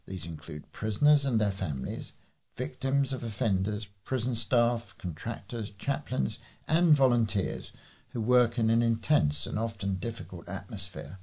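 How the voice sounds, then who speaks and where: Low, slightly husky man reading news. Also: lively intonation, moderate pace, mellow. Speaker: volunteer local news reader. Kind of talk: reading news articles aloud.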